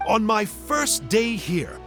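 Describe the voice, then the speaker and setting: A showy, playful uncle figure who spins tall tales for a child. His voice is high and swoops with lively, animated pitch. Faint game music plays behind. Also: slow, heavily acted. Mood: storytelling. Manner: theatrical, playful storyteller. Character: jolly self-styled uncle telling a boy tales.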